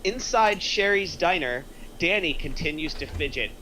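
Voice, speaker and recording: A man's voice: a little high, animated, normal speed, pushed and bright, poor audio. A man reading stage directions as scripted narration in a table read over online voice chat. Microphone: online voice chat microphone. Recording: faint noise on online voice call.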